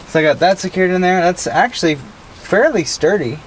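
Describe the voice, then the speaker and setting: A man's voice: high voice, animated, moderate pace. A young American man chatting casually and in laid-back slang as he works on his truck, recorded inside the cab by a camera a little way off. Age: young adult. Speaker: vlogger doing a truck DIY install. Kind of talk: casual vlog chat while working. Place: inside a parked pickup truck cab, door open. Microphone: camera mounted an arm's length or more away. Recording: clear outdoor road noise. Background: road and vehicle noise.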